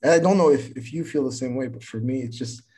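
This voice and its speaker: Young man, twenties, warm mellow tone, rambling podcast chat with stumbles. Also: medium pitch, fast. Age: 20s. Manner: rambling, repeats words when starting sentences. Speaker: podcast co-host. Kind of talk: casual chat.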